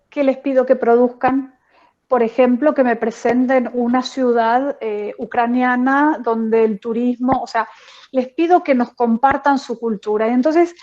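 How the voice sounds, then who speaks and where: A woman teacher answering a question on an online panel, thoughtful and pausing often with fillers. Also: fairly high pitch, darkish tone, muffled recording. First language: not French. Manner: thoughtful, many hesitations and fillers.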